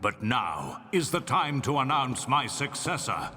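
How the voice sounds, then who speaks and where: A man's voice: mid-range voice, big pitch swings, measured pace, sharp tone, full character voice. An actor playing a stately, proud middle-aged emperor giving a formal, declamatory ceremonial speech to his subjects. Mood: proud, solemn. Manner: grand, declamatory. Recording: big reverberant space, clear background ambience noise.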